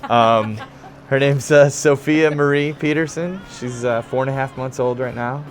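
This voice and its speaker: A man's voice: medium pitch, moderate pace, soft background music. A young man from Chicago with a Midwestern accent, a stage actor chatting backstage with lots of fillers. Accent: Midwestern American. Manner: chatty, laid-back, many fillers. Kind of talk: casual backstage interview. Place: theater dressing room.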